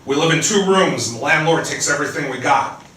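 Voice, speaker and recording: A man's voice: fairly high pitch, a little fast, bright, forceful tone, slight background noise, voice pushed up. A man voicing a weary, resentful middle-aged iron worker, speaking in a raised, pressed voice in a roomy space from a distance. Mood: bitter. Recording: roomy, distant mic, faint ambience.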